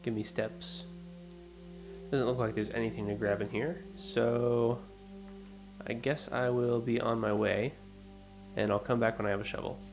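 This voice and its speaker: A man hosting a let's play, thinking aloud in an unhurried, musing way, his voice low and groggy from just waking up. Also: level tone, creaky, over music. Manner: musing, unhurried, self-talking. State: low morning voice, just woken up. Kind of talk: thinking-aloud gameplay commentary.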